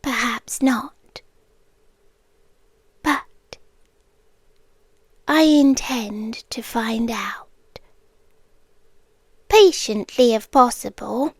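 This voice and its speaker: An obsessive, possessive monster girl played by a woman speaks in a high British voice, very close and dry. She takes her time, choosing formal words and often sinking into a whisper. It is an intimate ASMR roleplay monologue with a yandere temper. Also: drawn-out words, pauses often, breathy edge, dry recording, exaggerated voice. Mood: quietly menacing. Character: obsessive yandere female monster with tail, claws and venom.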